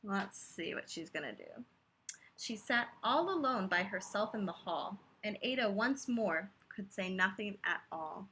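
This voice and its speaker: A woman reading a children's picture book aloud expressively, pausing to chat to her young listeners about the story. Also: medium pitch, a little hiss or hum, low-quality recording. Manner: animated, pauses to comment and ask listeners questions.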